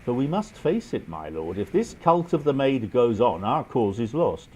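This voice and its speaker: Guy doing a smooth cynical english earl for radio drama, dry and posh-mannered, super swoopy intonation. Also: medium pitch, big pitch swings, moderate pace, mellow, a little hiss or hum, natural voice. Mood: diplomatic. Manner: urbane, dry, politely sardonic. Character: suave, cynical English earl, worldly aristocrat. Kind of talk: stage-style radio drama acting.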